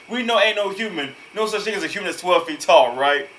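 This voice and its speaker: A man reacts casually in a bright, forceful, higher-pitched voice. He repeats words for emphasis, with faint noise around him. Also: lively intonation, a little fast, low-quality recording. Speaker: host reacting to a horror video.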